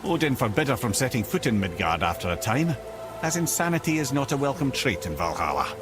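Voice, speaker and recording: An actor playing a chatty, witty old advisor in a video game, a wry storyteller. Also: male voice, mid-range voice, big pitch swings, a little fast, music in the background, natural voice. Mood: wistful, admiring. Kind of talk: video game dramatic acting.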